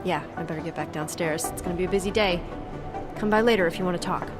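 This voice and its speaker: Low-voiced, caring female colleague played by an actress. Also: quick pace, music in the background, natural voice. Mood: shaken, friendly. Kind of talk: video game cutscene acting.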